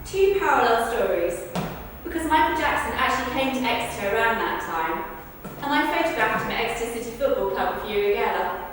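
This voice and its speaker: A woman's voice: on the high side, expressive, brisk, a bit bright. A woman performing a conversational verbatim theatre monologue, recorded from a distance in an echoey rehearsal room. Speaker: stage performer. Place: large reverberant indoor rehearsal room. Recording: distant, echoey hall with background noise.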